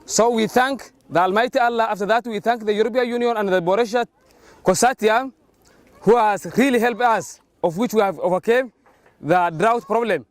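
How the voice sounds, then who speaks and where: A man speaks in an unscripted testimonial interview. His voice is strikingly high, and the recording is dry. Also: normal speed, no room sound. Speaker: livestock keeper giving testimony.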